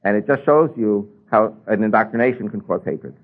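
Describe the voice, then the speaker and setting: A man's voice: on the low side, fairly quick, narrow, tinny audio. An older man with an American accent, a native Spanish speaker, preaching a sermon and telling his own story, with frequent ums and uhs. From United States.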